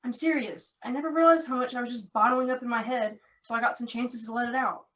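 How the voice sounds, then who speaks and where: A woman's voice: fairly high pitch, steady tone, quick pace, fairly bright tone, far from the mic, natural voice. A woman playing an earnest, conflicted young American woman in an audio drama, confiding in natural dialogue. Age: young adult.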